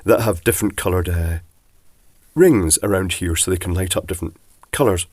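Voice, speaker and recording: A man with a cold, sounding bunged up and croaky, casually explaining electronics as he takes a device apart. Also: low voice, moderate pace, a little mellow, no echo. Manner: chatty, rambling asides. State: congested from a cold, husky. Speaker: electronics teardown presenter.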